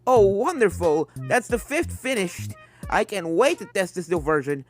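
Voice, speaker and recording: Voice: medium pitch, sing-song, measured pace. Storybook role: elderly cartoon pony inventor, acted by a narrator, eager and stubborn, exclamatory, music underneath. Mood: delighted relief. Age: older. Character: old gray unicorn stallion inventor, eager and stubborn.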